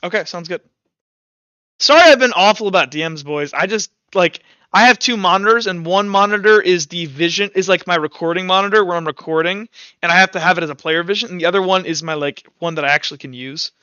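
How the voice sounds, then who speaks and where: A man's voice: sits high, fast talker, pushed and bright, clean tone, no room sound, dull audio. A young man running a tabletop roleplaying game as game master, talking informally and thinking aloud as he narrates.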